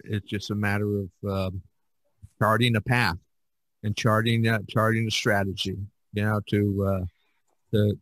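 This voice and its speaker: A man hosting a casual podcast discussion, thinking aloud in a halting way and repeating words. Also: lowish voice, fairly bright tone, no room sound. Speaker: podcast host and artist.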